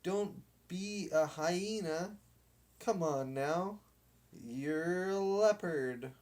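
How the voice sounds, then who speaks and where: A man voicing a bossy, excited boy captain in a storybook, calling out taunting orders. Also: sits high, extremely slow, pitched above the performer's own voice.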